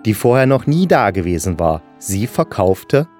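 A man's voice: fairly low pitch, very animated, moderate pace, a little mellow, faint music behind. A man narrating a children's fairy tale in a patient, explanatory way.

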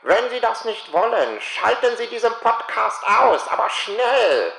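Performer as a stiff podcast announcer: slow, creaky, commanding, echoey room. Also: medium pitch, big pitch swings, fairly bright tone, heavily acted. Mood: stern, formal. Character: formal announcer giving a curt spoiler warning. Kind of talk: mock-formal scripted announcement. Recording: roomy, echoing insert.

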